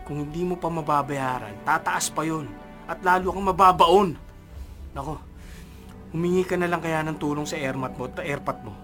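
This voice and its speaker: Young filipino pal in a radio drama, slangy, high sharp voice, nagging. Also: male voice, moderate pace, soft background music. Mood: alarmed. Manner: slangy, reproachful. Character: worried, nagging young male barkada friend.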